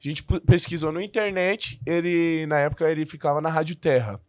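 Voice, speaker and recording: A man's voice: fairly high pitch, steady tone, a little fast, dry recording. A man who learned the language as an adult, a podcast guest telling his story in a casual way with many restarts and fillers.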